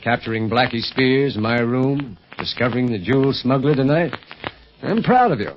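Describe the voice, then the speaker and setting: A muffled, hissy old radio recording. A man plays a medium-voiced secret agent leader, steady and practical, scolding and looking after his young charges in an adventure serial. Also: medium pitch, normal speed, close to an everyday voice. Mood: protective. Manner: chiding, matter-of-fact, protective. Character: level-headed secret agent uncle, protective leader. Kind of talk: 1930s radio serial acting.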